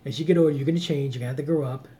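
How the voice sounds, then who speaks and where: A man in his late thirties giving earnest, preachy relationship advice on a live vlog, swinging between loud emphasis and low murmurs. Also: rapid-fire. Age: 30s. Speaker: vlogger. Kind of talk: live-stream advice chat.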